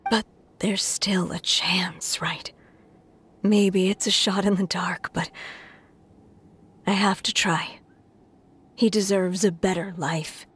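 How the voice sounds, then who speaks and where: A woman voicing a gentle, loving storyteller in a video game, with a soft, breathy, tender delivery. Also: mid-range voice, steady tone, slow pace, long pauses, a little hiss or hum. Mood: earnest, hopeful. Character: gentle, loving woman storyteller, short-lived creator of a child.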